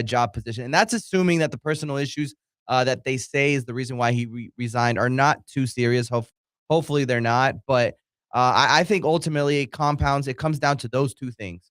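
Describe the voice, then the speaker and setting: Casting: male podcast co-host, mid-pitched, casual soccer talk, dry recording. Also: brisk, fairly bright tone, no room sound.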